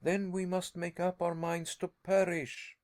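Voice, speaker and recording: A man doing a desperate young nephew, high and pleading. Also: unhurried. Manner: excited, pleading cries.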